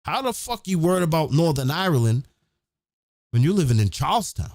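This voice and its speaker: A man's voice: fairly high pitch, sing-song, brisk, darkish tone, dry recording, clean studio sound. A man hosting his own show, giving a blunt, profane, emphatic opinionated monologue in a casual ranting style.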